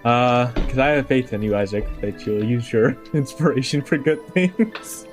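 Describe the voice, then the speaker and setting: A man's voice: mid-range voice, normal speed. A man chatting casually as a player in a tabletop roleplaying game. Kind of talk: casual chat. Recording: clear music under the voice. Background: background music.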